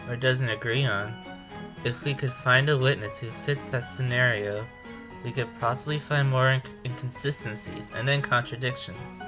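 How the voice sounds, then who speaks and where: Woman playing a very low-voiced, thoughtful legal partner. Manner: calm, reasoning, explanatory. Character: thoughtful, level-headed animal defence partner. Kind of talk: audio drama acting.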